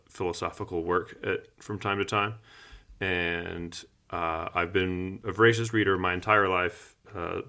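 Man aged thirty, low-pitched, creaky, casual podcast co-host. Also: bright, forceful tone.

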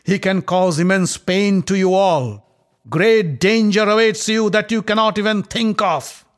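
A man's voice: a little high, voice pushed up, natural voice. A man voicing a grave, wise older advisor who solemnly warns. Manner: solemn, warning.

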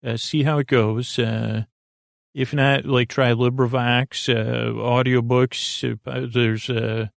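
Creaky-voiced man rambling softly for bedtime. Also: medium pitch, level tone, dry recording, studio quality. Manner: meandering, halting, trailing off mid-thought. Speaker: podcast host. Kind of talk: rambling bedtime monologue to help listeners sleep.

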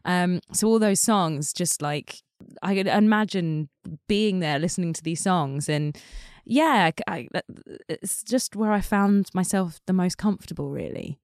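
A woman's voice: on the low side, lively intonation, unhurried, a little mellow, no echo. Chatty woman, dry close sound. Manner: chatty, many fillers like "like" and "um". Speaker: guest singer. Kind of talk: casual interview chat.

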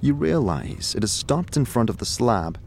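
A man narrating a scripted second-person audio drama in a deliberate storytelling manner. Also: medium pitch, big pitch swings, vocal fry, a little hiss or hum. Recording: music behind the voice. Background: background music.

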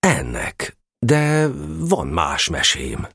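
A man playing a fatherly, gently amused storyteller, narrating a children's audio drama expressively. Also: rumbling low, wildly swooping pitch, creaky, breathy edge, dry recording, clean studio sound, exaggerated voice.

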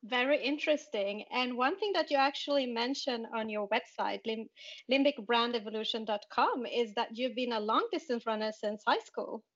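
Brief: woman interview host, slightly raised pitch, friendly questioner, muffled lo-fi audio. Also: moderate pace, a bit bright. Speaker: podcast host. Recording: dull lo-fi audio.

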